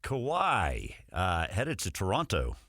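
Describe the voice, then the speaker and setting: A man's voice: deep voice, huge pitch swings, takes it slowly, very noisy. A man, a sports radio sidekick, dropping casual, teasing remarks into the banter.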